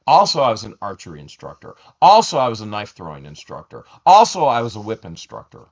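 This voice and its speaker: A man co-hosting a casual commentary vlog, taunting and mocking, his voice swinging up into shouts. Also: fairly low pitch, huge pitch swings, fast talker, vocal fry, husky, highs cut off. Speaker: YouTube channel co-host.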